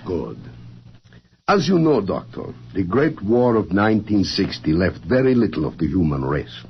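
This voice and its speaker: A solemn, blunt statesman in a vintage science-fiction radio play. The voice is low and formal, deliberate in phrasing but wide in its rise and fall. It comes through a dull old recording with faint noise. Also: sing-song. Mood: grave, blunt. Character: grave male world council president, former scientist, blunt. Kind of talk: 1950s radio drama acting.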